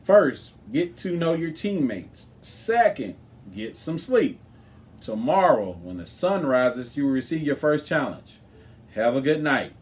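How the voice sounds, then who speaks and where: A man's voice: on the high side, big pitch swings, measured pace. Man voicing race announcer, commanding, addressing young teams, storybook read-aloud.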